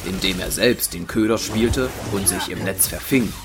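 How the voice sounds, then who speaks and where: A man's voice: sits low, moderate pace, background noise. A man narrating an audio drama as a scripted storyteller. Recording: music behind the voice.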